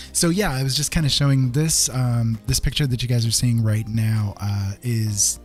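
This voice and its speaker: A man's voice: fairly low pitch, darkish tone. A man casually chatting to viewers as he hosts a live art stream, rambling and hesitant as he goes. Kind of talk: casual livestream chat with viewers. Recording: music playing behind the voice. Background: background music.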